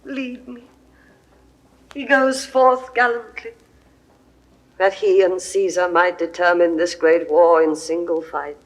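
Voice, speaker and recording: An actress playing a loving, playful queen with a slow, teasing, affectionate manner in a 1960s radio play. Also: fairly low pitch, steady tone, rough recording. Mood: wistful.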